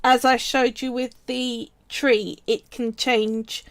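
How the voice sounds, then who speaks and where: A woman's voice: a little high, level tone, dry recording. A woman casually talking viewers through a polymer clay sculpting project on a live stream, chatty and explanatory. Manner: chatty and explanatory, often pausing to say "right" and "so".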